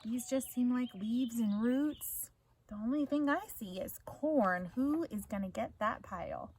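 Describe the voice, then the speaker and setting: A woman's voice: on the high side, measured pace, a little mellow, a little hiss or hum. A woman teacher reading a story aloud to children, explaining as she goes and prompting them with questions.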